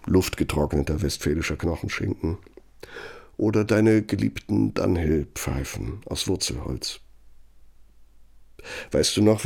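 A deep, husky older man in a radio drama. He is a self-important architect who talks with pompous, insinuating weight and a bitter edge. His low voice crackles with gravel. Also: darkish tone, full character voice. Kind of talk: German radio play acting, monologue and dialogue.

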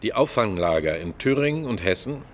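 An actor playing a smooth, chummy political crony in a German radio crime drama. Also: male voice, lowish voice, animated, a little fast, natural voice. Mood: jovial, confidential. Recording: long reverberant hall, faint noise.

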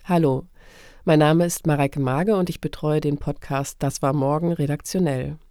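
Mellow-voiced woman, formal and dry. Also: low voice, fairly even intonation, normal speed, clear, resonant tone, no echo, soft background music. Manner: formal, factual. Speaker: podcast editor. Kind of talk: scripted announcement.

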